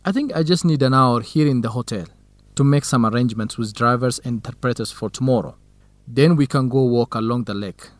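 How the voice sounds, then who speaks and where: An actor playing a kind, modest young researcher in an educational radio drama, speaking in measured, simple phrases. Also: male voice, medium pitch, dry recording, close to an everyday voice. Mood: informative.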